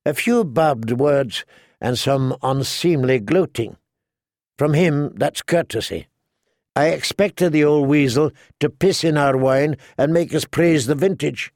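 A man's voice: a little high, measured pace, darkish tone, no room sound, clean studio sound. A man voicing a cheerful, flippant young lord with a wry, bantering manner in an audiobook. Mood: wry, offhand. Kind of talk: audiobook character dialogue.

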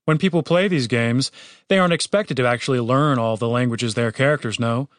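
A man's voice: medium pitch, fairly quick, dry recording, close to an everyday voice. A man playing a laid-back, wisecracking young lute player in an audiobook story, dry and casual. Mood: explaining, wry.

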